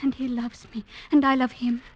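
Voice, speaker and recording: An actress playing a gentle young woman in love, soft and tender in mid-century radio drama style. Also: sits high, flat delivery, normal speed. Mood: pleading. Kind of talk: 1940s-50s radio drama acting. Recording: dull vintage radio recording.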